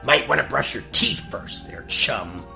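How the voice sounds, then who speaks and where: Woman doing a low, sardonic squire. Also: lively intonation, measured pace, gravelly, sharp tone, soft background music, heavily acted. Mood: teasing. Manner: dry, sarcastic, grumbling. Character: sardonic, cantankerous male squire, dry and teasing. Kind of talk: gag-dub cartoon radio play acting.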